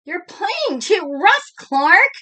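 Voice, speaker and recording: A woman's voice: extremely high pitch, sing-song, drawn-out words, sharp tone, pitched above the performer's own voice, heavily acted. A woman voicing an exasperated young schoolchild fish, complaining and crying out in a children's story. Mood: indignant.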